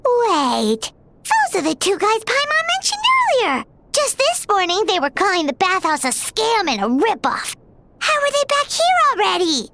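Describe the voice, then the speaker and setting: A woman voicing a tiny, excitable, childlike fairy companion in a video game, with a squeaky, piercing voice and exclamatory manner. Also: normal speed, a little hiss or hum, full character voice. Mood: suspicious, surprised. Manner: speaks of self in third person, exclamatory. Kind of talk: animated video game voice acting. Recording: music behind the voice.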